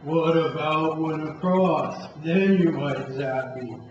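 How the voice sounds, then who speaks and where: Man doing a halting, drawling zombie, very echoey. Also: on the high side, slight background noise. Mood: hesitant, wary. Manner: halting broken speech, drawn-out words. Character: dim, hesitant male zombie, lurching and wary. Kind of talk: amateur puppet show voice acting. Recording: very echoey, distant, muffled room.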